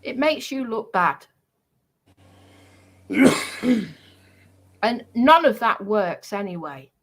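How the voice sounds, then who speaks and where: A woman delivering an unscripted, confrontational rant to online trolls, scolding in a halting way and repeating her points for emphasis. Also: on the high side, very animated, slight background noise.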